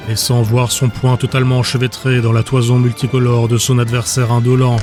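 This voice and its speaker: A man narrating a dark fantasy audiobook in a steady, measured storytelling voice. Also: medium pitch. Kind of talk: scripted narration.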